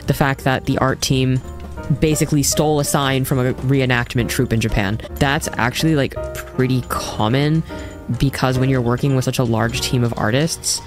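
Measured man explaining calmly. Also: mid-range voice, music in the background. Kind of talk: casual explanatory talk.

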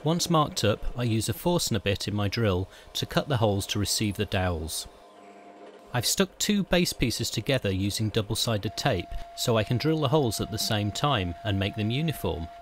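Brit guy, lowish voice, steady how-to voiceover for a workshop build. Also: normal speed, slight background noise. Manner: calm, methodical step-by-step explanation. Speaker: woodworking channel host. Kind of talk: scripted how-to voice-over.

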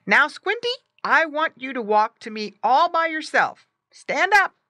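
A woman voicing an eager, high-pitched farm boy. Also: very animated, unhurried, no echo, exaggerated voice. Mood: coaxing. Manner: loud, encouraging, exclamatory calling. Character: eager, kind young farm boy training his pet pig. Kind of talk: children's storybook character voice.

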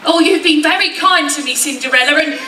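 A woman's voice: high voice, brisk, bright, forceful tone, slight background noise. A woman playing a kindly, mysterious little old lady in a stage pantomime. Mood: sweet, knowing. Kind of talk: theatrical pantomime acting. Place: large hall stage, indoors. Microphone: far camera. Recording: echoey stage hall from far off.

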